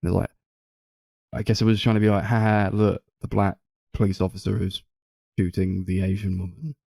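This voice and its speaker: Relaxed, low-voiced man. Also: brisk, vocal fry, slightly rough, dry recording. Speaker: podcast co-host. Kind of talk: casual film discussion.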